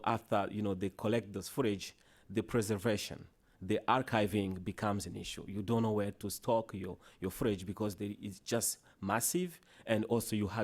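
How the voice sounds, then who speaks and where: A man whose first language is Swahili gives a conference presentation with slides, in a halting way full of restarts and "you know" fillers. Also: on the low side, darkish tone. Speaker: conference panelist. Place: conference hall.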